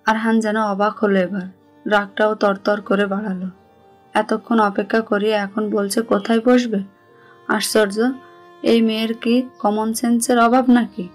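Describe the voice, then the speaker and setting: A woman with a Bangladeshi accent narrates a romantic story in a level, even tone. Her pitch sits in the middle and moves little. Soft music plays behind her voice. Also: normal speed.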